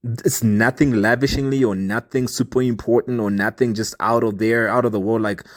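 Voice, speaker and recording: A young man from Zambia telling his own life story in a casual, earnest and open way, with animated emphasis. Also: medium pitch, normal speed, a little hiss or hum.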